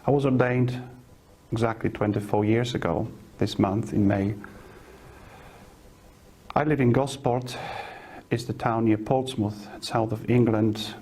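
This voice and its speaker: A middle-aged priest from Poland, a Polish native speaker, gives a personal testimony, speaking slowly and haltingly with pauses and fillers. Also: male voice, fairly low pitch, fairly even intonation, darkish tone. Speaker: parish priest.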